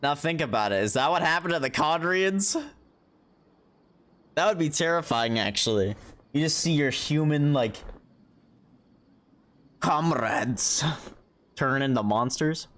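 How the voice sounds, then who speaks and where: A man's voice: a little high, expressive, measured pace, long pauses, a bit bright. A man livestreaming a game with casual, slangy, joking commentary. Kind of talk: casual live gameplay commentary. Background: game music.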